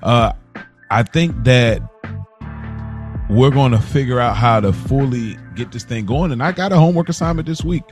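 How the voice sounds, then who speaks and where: A man co-hosting a casual podcast, explaining himself in an earnest, measured way. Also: mid-range voice. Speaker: podcast co-host. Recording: voice over music bed. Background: music.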